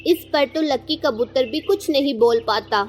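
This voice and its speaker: A woman's voice: sits high, moderate pace. A woman narrator reading a scripted children's story.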